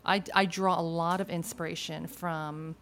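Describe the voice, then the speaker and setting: A woman's voice: fairly low pitch, level tone, moderate pace, a bit bright, a little hiss or hum. A woman from Argentina who sings, speaking thoughtfully in a casual conversation. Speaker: singer.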